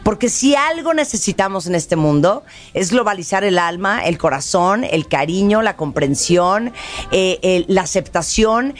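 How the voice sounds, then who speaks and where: A woman's voice: medium pitch, expressive, a little slow. Chatty Mexican woman radio host. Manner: playful, chatty, teasing. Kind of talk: lively informal radio chat.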